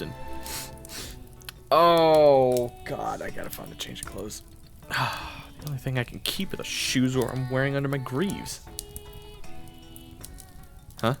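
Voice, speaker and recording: A man performing a first-person audio roleplay, narrating and talking to the listener, often stammering and flustered, sometimes whispering and sometimes yelling. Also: medium pitch. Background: video game soundtrack music.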